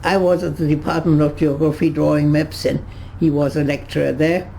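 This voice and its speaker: Gravelly, halting woman over 100. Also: sits low, level tone, warm tone, a little hiss or hum. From Germany. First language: German. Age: 100s. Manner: halting, many restarts and searches for words. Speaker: interviewee. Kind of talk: reminiscing in conversation. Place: her home.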